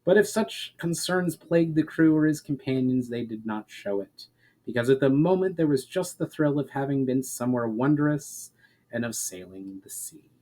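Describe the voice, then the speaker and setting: A man's voice: mid-range voice, animated, normal speed, dark tone, low-quality recording. A man reading his own story aloud as a dramatic scripted narration.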